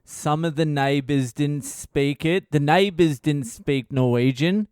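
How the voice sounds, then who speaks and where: A man's voice: on the high side, takes it slowly, darkish tone, smooth, no echo, clean studio sound, pitched above the performer's own voice. Man doing a vague, very old farmer dad. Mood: matter-of-fact.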